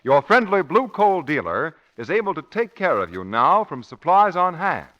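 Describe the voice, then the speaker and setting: A man's voice: on the high side, very animated, moderate pace, a bit bright. A man announcing a 1940s radio show from a script in an emphatic, formal style. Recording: dull, muffled 1940s radio recording.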